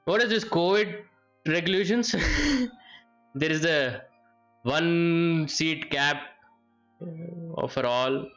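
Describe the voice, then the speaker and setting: A woman's voice: on the low side, expressive, drawn-out words, a bit bright, muffled recording, soft background music. A woman streamer giving live gameplay commentary in short, puzzled reactions, often echoing what the game says. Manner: short, puzzled interjections, repeats game lines.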